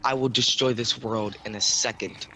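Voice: medium pitch, fairly even intonation, normal speed, pushed and bright, slight background noise, close to an everyday voice. A young player voicing a grumpy god of destruction in a game role-play, terse and threatening. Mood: menacing. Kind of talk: improvised role-play while playing a video game.

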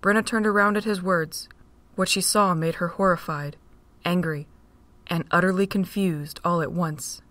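A woman narrating a fan-made audio drama in a steady, plain reading voice. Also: on the low side, normal speed, dry recording.

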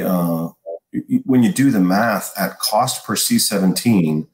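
American man, forties, low pitch, echoey room, measured explanatory talk, military jargon. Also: darkish tone. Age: 40s. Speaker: army colonel, interview guest. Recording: roomy, echoing sound.

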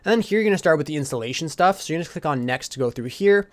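Fast-talking man hosting a tutorial. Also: a little high, animated. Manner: instructional, addresses viewers informally as "you guys". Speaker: tutorial host. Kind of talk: casual step-by-step software tutorial voice-over.

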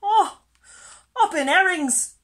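A woman acts out a small boastful male sea creature from a picture book. He is high-voiced and swoops about dramatically. The bluster is loud, from someone who frightens easily. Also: huge pitch swings, slow, heavily acted. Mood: panicked. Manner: boastful, shrieking when scared.

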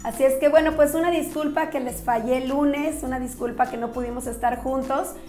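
A woman in her forties with a Mexican accent and a slightly hoarse voice, talking casually and effusively to the camera as a nutritionist hosting her show. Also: high voice, fairly even intonation, brisk, a little mellow, soft background music. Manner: effusive, friendly, thankful. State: slightly hoarse, recovering from a sore throat. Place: small indoor office. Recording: echoey small room.

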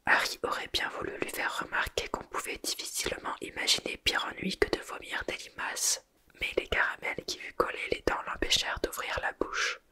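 Steady asmr story whisper, very bright and airy, right on the mic. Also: normal speed.

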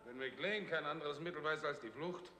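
Combative sarcastic officer, sixties sci-fi tv, sharp voice, roomy. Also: male voice, fairly high pitch, moderate pace, a little hiss or hum. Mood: scornful. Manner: forceful, sarcastic. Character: combative, scornful military officer in a war council. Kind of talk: 1960s German TV science fiction acting. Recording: echoey hall-like studio set.

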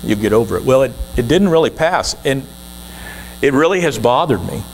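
A man's voice: medium pitch, animated, moderate pace, a little hiss or hum, rough recording. A man in his sixties, a guest minister, preaching an earnest, conversational sermon from the pulpit of a church.

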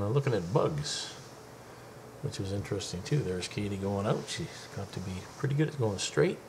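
Easygoing low-voiced dad narrating home video. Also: male voice, background noise. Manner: easygoing, chatty, with fillers. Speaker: father filming a family outing. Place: outdoors by a lake.

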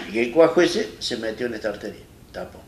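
An Argentine man in his fifties speaks plainly and haltingly, restarting his phrases as he tells his story. His voice sits at a middling pitch and is heard from a distance in an echoey studio, with a faint hiss underneath. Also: expressive, a bit bright. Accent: Argentine Spanish. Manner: plain-spoken, halting and retelling with many restarts. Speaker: interview guest. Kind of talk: personal story in a studio interview. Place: small studio set, seated in armchair. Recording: roomy echo, distant mic, faint hiss.

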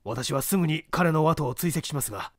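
A man voicing a cunning gentleman thief in an audiobook, speaking politely and assertively while posing as a victim. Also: a little high, animated, a little fast, no room sound, clean studio sound, natural voice. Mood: urgent, assured. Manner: polite, smooth-talking, assertive. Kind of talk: audiobook character acting.

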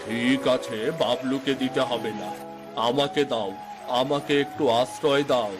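A man's voice: medium pitch, steady tone, a little slow, lightly acted. A man playing an emphatic, melodramatic village man in a cartoon folk tale. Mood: pleading. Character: stern, scolding village husband and pleading chief in a folk tale. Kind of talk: Bengali cartoon fairy-tale voice acting. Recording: music behind the voice. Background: background music.

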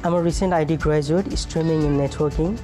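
A young man from Nepal recommends his school in a short testimonial. His voice is warm and a touch high, and soft guitar music plays behind. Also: fairly even intonation, brisk. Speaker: recent graduate. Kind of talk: scripted testimonial.

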